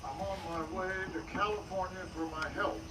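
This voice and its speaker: A man playing a plodding, unlettered townsman with a halting delivery in a Western radio drama. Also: high-pitched, fairly even intonation, lightly acted. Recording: echoey room, distant mic, noise.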